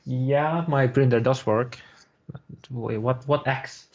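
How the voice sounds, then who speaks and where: A young man chatting casually on a live stream, halting and rambling with many fillers and restarts. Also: mid-range voice, fairly even intonation, lo-fi sound. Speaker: guest streamer.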